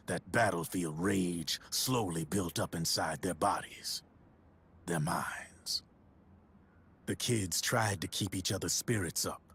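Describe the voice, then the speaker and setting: Sly arms dealer in a game, a low, husky, raspy voice, wry and knowing. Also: male voice, fairly bright tone, heavily acted.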